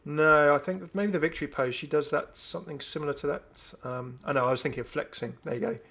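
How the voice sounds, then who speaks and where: A man's voice: fairly high pitch, quick pace, pushed and bright. A man giving casual, off-the-cuff video game commentary as a host, chatty and often musing aloud. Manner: chatty, musing aloud, asks himself questions.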